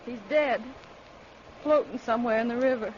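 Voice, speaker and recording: A woman's voice: fairly high pitch, moderate pace, close to an everyday voice. A woman acting in an old-time radio drama as a grieving, defiant country girl with accusing, folksy speech. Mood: numb, grieving. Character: defiant, grieving backwoods girl, skinny daughter. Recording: muffled, noisy old radio recording.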